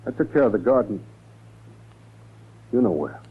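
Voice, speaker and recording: An actor playing a sullen, defensive ex-convict gardener, husky and curt, in 1940s radio style. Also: male voice, mid-range voice, very animated, moderate pace. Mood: touchy, hurried. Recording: tinny 1940s radio recording.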